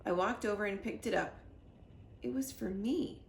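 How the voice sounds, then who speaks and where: A woman narrating a children's storybook in an expressive read-aloud style. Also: medium pitch, moderate pace, gravelly. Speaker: storybook reader. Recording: echoey room with faint noise.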